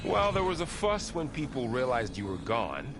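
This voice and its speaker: A man playing a loyal, steady warrior companion in a video game, plainspoken, earnest and curious. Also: mid-range voice, moderate pace. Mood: watchful, steady. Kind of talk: video game cutscene and exploration dialogue. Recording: cinematic game music behind. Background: game music.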